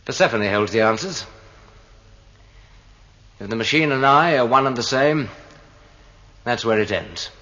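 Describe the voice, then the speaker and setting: An actor playing a brooding, earnest male android in a radio drama, speaking deliberately. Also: medium pitch, lively intonation, bright, forceful tone. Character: brooding male android questioning whether his feelings are real. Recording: dull noisy 1980 radio drama recording.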